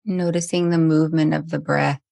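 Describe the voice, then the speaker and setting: A woman leading a guided meditation as a teacher, speaking gently and soothingly with long, unhurried pauses. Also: low-pitched, little pitch movement, vocal fry, no room sound, clean studio sound.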